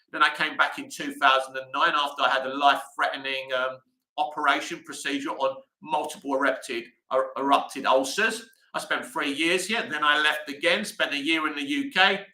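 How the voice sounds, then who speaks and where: Male streamer host, tense bright tone, slightly raised pitch, reads and answers chat, roomy echo. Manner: chatty, reads comments aloud and answers them. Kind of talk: live-stream chat answering viewer comments.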